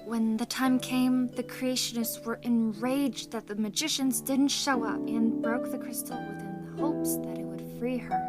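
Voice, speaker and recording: Actress as courteous female lorekeeper, high voice, formal, helpful, audio drama storytelling, loud harp music behind. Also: close to an everyday voice.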